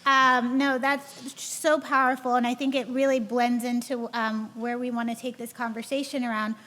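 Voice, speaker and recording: A woman's voice: fairly high pitch, level tone, moderate pace, a bit bright. A woman moderating a panel discussion, upbeat and encouraging as she sets up questions. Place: conference hall. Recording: hall reverb with faint room noise.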